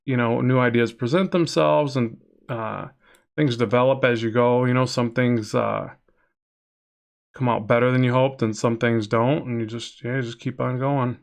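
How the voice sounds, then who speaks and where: A man's voice: mid-range voice, fairly even intonation, moderate pace, gravelly. A man with a nasal voice chatting casually with viewers while drawing on a live stream, rambling and reading chat aloud. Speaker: comic artist streaming a drawing session.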